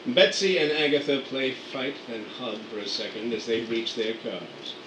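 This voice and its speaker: A man narrating radio play scene directions in a steady, matter-of-fact way. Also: mid-range voice, moderate pace. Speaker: narrator reading stage directions. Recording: roomy, distant mic, air conditioning hum.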